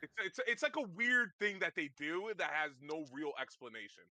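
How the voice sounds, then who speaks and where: Bright-voiced man in loose banter. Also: fairly high pitch, very animated, moderate pace, no room sound. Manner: loose, hesitant, full of slang. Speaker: podcast co-host. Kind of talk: casual chat about fights.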